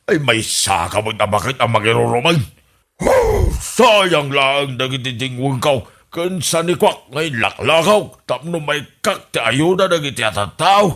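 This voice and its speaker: An actor playing a scheming, blustering village candidate in an Ilocano radio comedy drama, with an Ilocano Filipino accent and a theatrical, drawn-out delivery. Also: male voice, medium pitch, very animated, fairly bright tone. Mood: sulking. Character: scheming, blustering male village election candidate, bitter after losing.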